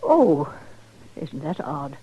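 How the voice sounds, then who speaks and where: A woman playing an imperious elderly grandmother of high society in a radio play, formal, genteel and condescending. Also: big pitch swings, very slow, dark tone, husky, dull audio, heavily acted. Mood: haughty, insistent. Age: older. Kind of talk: old-time radio drama acting. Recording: vintage radio recording.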